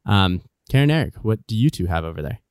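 A man's voice: low voice, animated, fast talker, smooth, no echo, studio quality. A man hosting a casual podcast from a guest room at home, chatty and upbeat, teasing his guests. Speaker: podcast host.

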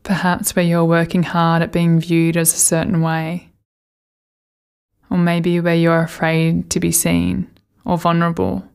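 A woman leading a guided meditation, giving gentle, soothing instructions with unhurried pauses. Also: on the low side, gravelly, clear, resonant tone.

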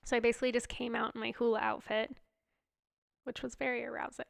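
A woman's voice: a little high, level tone, quick pace, creaky, dry recording, studio quality. A woman with a stuffed-up nose, co-hosting a podcast in casual, giggly chat. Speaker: podcast co-host.

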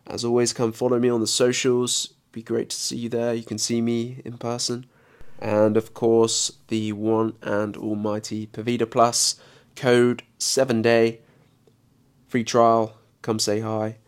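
Slow, congested man guiding a meditation. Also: fairly low pitch, smooth. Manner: calm, unhurried counting and instruction. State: congested from a head cold. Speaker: meditation guide and podcast host. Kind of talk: guided breathing meditation. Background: soft background music.

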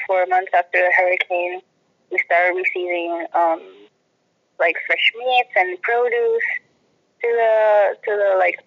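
A woman's voice: on the low side, lo-fi sound. A woman from Puerto Rico with a Puerto Rican accent, telling her personal story conversationally as an interview guest.

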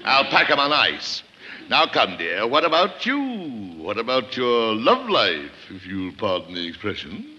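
A man acts out a pompous, acid-tongued wit who mocks everyone in a dry, bright voice with lively swings in pitch. It sounds like a muffled old radio broadcast with a faint hiss. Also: measured pace, exaggerated voice. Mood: sardonic. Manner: dry, sardonic, mocking. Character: acid-tongued, pompous man confined to a chair, gossip-hungry. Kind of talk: 1940s radio comedy acting.

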